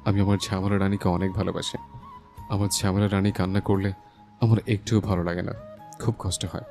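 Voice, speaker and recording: A man's voice: deep voice, flat delivery, fairly quick, gravelly, darkish tone. A man playing a passionate, protective young husband in a dramatised romantic audio story, speaking with emphatic feeling. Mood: tender, consoling. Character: hot-tempered young husband, fiercely protective, tender with his wife. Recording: voice over a music bed.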